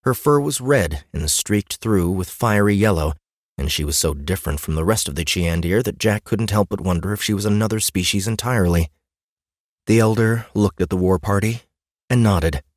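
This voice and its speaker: A man's voice: fairly low pitch, moderate pace, no room sound, clean studio sound. A man narrating a fantasy audiobook in a scripted storytelling style.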